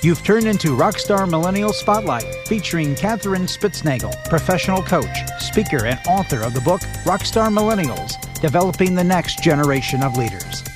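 A man's voice: high-pitched, lively intonation, brisk, hardly any pauses. A man reading the scripted introduction of a podcast. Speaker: show announcer. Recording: music bed behind voice.

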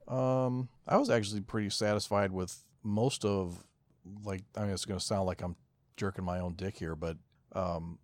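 A man's voice: low-pitched, steady tone, moderate pace, darkish tone, no room sound. A man co-hosting a podcast in casual chat, hesitant and self-deprecating as he talks. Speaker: podcast co-host.

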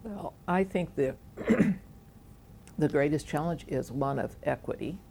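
A woman educator speaking earnestly and in a measured way in an interview about higher education. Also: creaky, mellow, a little hiss or hum. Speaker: educator guest. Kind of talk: conversational interview.

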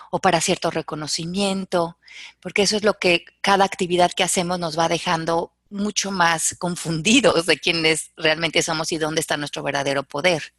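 Woman, Mexican accent, lower-pitched, dry recording, didactic, emphatic, self-help coaching talk. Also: fairly bright tone, no room sound. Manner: didactic, emphatic, lists examples. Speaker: life coach. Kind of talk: explanatory self-help conversation.

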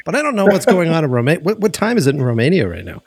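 A man's voice: fairly high pitch, huge pitch swings, rapid-fire, darkish tone, husky, no room sound, studio quality. A man from the US East Coast hosting a podcast, chatty and joking, swearing casually. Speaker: podcast host. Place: Los Angeles, remote call.

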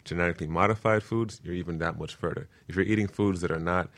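A man's voice: low-pitched, fast, fairly bright tone. A man speaking as a guest expert in an informal interview, explaining things in an earnest, instructive, emphatic way. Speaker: guest expert on permaculture and food.

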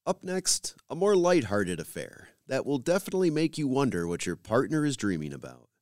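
A man's voice: mid-range voice, sing-song, brisk, a little mellow, dry recording, clean studio sound. A man with an American accent reading scripted introductions as a podcast host.

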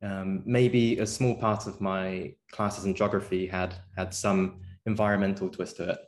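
Young male guest from South Korea, lower-pitched, relaxed and reflective, pauses with ums and uhs. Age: young adult. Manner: relaxed, thoughtful, with frequent fillers and restarts. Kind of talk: casual interview answers.

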